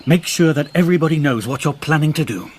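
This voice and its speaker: Man playing troubled, guarded gentleman. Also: fairly high pitch, fast, slight background noise, natural voice. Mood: grave, resolute. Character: anguished, secretive, honourable gentleman fiancé. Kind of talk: British radio drama acting.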